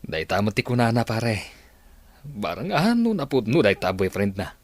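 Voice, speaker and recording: A man's voice: on the low side, very animated, moderate pace. A man playing a gossiping villager in a radio drama. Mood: gossipy. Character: gossiping village man sharing family news. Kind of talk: Ilocano radio drama acting.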